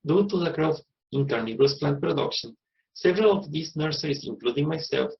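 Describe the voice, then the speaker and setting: A man's voice: on the high side, expressive, fairly quick, creaky, highs cut off. A man in his thirties with a Costa Rican accent, a native Spanish speaker, giving a prepared personal narration as a plant nursery owner. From Costa Rica.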